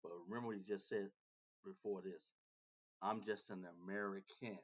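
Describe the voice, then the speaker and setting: A man's voice: low-pitched, little pitch movement, moderate pace, muffled recording. A man hosting a reaction video, commenting on a clip with emphatic, repetitive casual talk.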